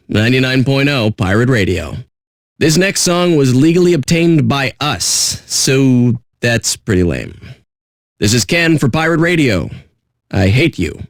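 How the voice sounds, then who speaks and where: Jaded, rude pirate radio DJ. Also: male voice, mid-range voice, dry recording, clean studio sound. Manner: sardonic, blunt, insulting, profane. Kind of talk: irreverent radio DJ patter.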